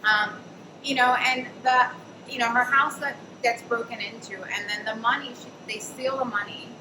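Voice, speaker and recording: A woman's voice: fairly high pitch, steady tone, moderate pace. Woman host, chatty storyteller, heard from a distance in a noisy, echoey café. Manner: chatty, many fillers and "I'm like". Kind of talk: casual anecdotal storytelling at a panel. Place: café event space.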